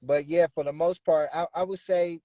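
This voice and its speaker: A man's voice: on the high side, steady tone, moderate pace, mellow, no echo, muffled recording. A man in his thirties from the Bay Area, a rapper guest talking casually and often saying "you know what I'm saying". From Bay Area, California. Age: 30s. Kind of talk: casual interview talk.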